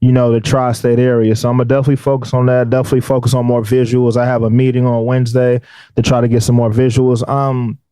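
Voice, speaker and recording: A man with a noticeably nasal voice talks loosely and at length, filling gaps with ums and you-knows. His voice sits at an ordinary pitch. He is recorded close on a studio mic with a dry, deadened sound. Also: flat delivery, fast talker, warm tone, clear, resonant tone, no room sound. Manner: laid-back, rambling with fillers. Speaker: rapper guest. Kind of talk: casual interview talk. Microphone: studio microphone.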